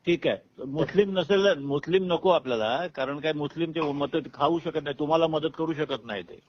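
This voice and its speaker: Fairly high-voiced man directing by phone. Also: moderate pace. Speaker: political party aide. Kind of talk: informal phone conversation. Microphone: phone call. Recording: muffled recorded phone call.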